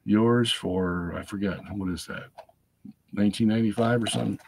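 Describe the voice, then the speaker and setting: A middle-aged man hosting a live stream from his home study, chatting casually and checking in with "okay?", hoarse from a lingering cold. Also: on the low side, normal speed, background noise. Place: home study lined with bookshelves.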